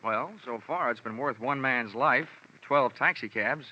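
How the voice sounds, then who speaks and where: Sharp, wry radio detective. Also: male voice, mid-range voice, unhurried. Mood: defiant, cool. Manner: laconic, dry, wisecracking. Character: wry, tough, unflappable private investigator. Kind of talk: old-time radio detective drama acting. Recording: noisy, dull lo-fi radio recording.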